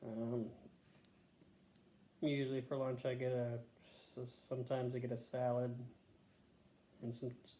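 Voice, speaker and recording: A man answering health questions as a patient, casual and matter-of-fact, pausing to think in short answers. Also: mid-range voice, mellow. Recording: echoey room with faint background noise.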